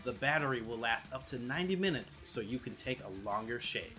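A man's voice: mid-range voice, lively intonation, fairly quick, sharp tone, faint music behind. A man co-hosting a podcast, speaking in an informal, conversational style. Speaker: podcast co-host. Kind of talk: casual podcast chat and host-read ad.

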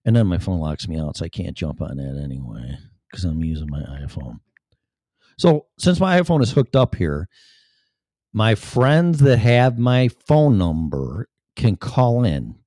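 A man's voice: sits low, warm tone, no echo, studio quality. A man with a Chicago accent hosting his live-stream show in a chatty, profane, self-deprecating ramble. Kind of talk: casual rambling monologue.

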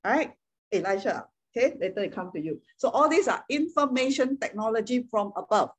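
Husky woman preacher. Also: fairly high pitch, lively intonation, fairly quick. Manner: checks in with frequent "okay?" and "right?"; chuckles often between points. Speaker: Bible teacher. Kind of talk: teaching sermon.

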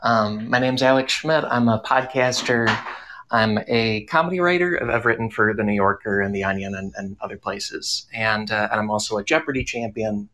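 A man's voice: fairly low pitch, moderate pace, sharp tone, slight background noise, low-quality recording. A man speaking casually as a podcaster on a panel. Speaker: podcaster and comedy writer. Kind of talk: casual self-introduction on a panel.

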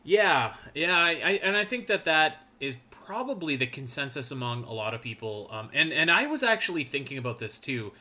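Bright-voiced man in his thirties. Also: mid-range voice, very animated, moderate pace, hardly any pauses. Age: 30s. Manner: rambling, laid-back, lots of fillers and restarts. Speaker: podcast co-host. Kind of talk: casual gaming podcast chat.

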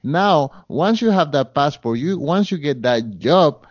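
A man's voice: a little high, expressive, measured pace, no echo, muffled recording. A man with a Spanish accent, a guest in an interview, talking earnestly with many fillers and restarts. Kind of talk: interview conversation.

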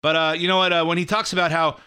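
Middle-aged American male talk host, smooth, bright voice, opinionated, loose and repetitive delivery. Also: a little high, level tone, fast. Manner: rambling, repeats phrases, acts out imagined quotes. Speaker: political commentator and show host. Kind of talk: casual opinionated political commentary.

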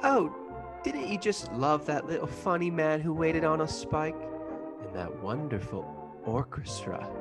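A man's voice: on the high side, expressive, a little slow, over music. Man putting on a gushing, coy young woman. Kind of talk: comedic radio play acting.

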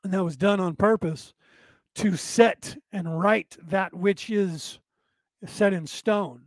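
A man hosting a long spiritual talk show, his voice hoarse and raspy, rambling and emphatic as he talks straight to his listeners. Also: high-pitched, takes it slowly, no echo. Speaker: show host. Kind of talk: late-night spiritual talk show monologue.

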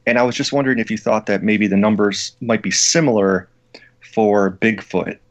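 Lowish voiced guy asking questions, kinda hesitant, lots of ums. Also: normal speed, lo-fi sound. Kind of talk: casual interview questions.

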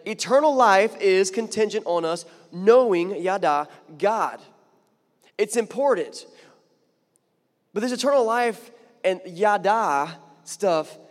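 A man's voice: high-pitched, expressive, measured pace, big-room sound. A man preaching a sermon in a chatty, conversational way, building to emphatic points and often repeating words. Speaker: pastor.

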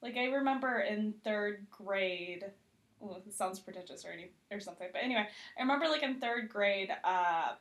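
A woman's voice: medium pitch, normal speed, a bit bright. A woman chatting casually as an interview guest, self-deprecating and humorous, peppering her talk with "like". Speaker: podcast guest, TV critic.

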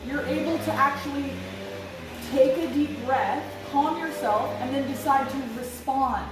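A woman's voice: high voice, measured pace. A woman guest speaking candidly and bluntly, swearing freely as she tells her story. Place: outdoors on vacation, lawn equipment nearby. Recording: distant, echoey, noisy outdoor livestream audio.

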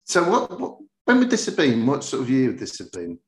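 An echoey recording of a man hosting a podcast interview. He has a mid-pitched voice and talks in a chatty, rambling style with a jokey streak. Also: wildly swooping pitch, a little fast. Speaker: podcast host. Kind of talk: casual conversational interview. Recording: roomy, echoey sound.

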